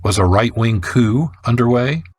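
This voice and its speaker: A man narrating a scripted radio documentary in a measured, formal way. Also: mid-range voice, lively intonation.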